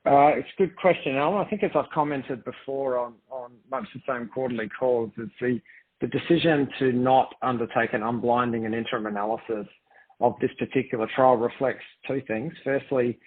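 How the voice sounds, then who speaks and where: A man's voice: mid-range voice, fairly even intonation, brisk. A man speaking as a company executive on a conference call, presenting and answering in careful, formal corporate phrasing. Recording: dull, lo-fi conference call line.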